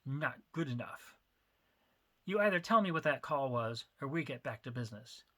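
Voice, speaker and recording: A man voicing a menacing, composed drug dealer in a dramatic story reading, speaking flatly and dispassionately. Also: mid-range voice, normal speed. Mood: cold, insistent. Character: cool, menacing thin male drug dealer boss.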